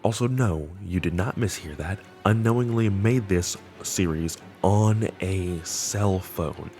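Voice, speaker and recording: A man's voice: low voice, slow pace, a little mellow. A man giving casual, enthusiastic commentary on a horror web series, with slangy wording. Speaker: video host. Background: background music.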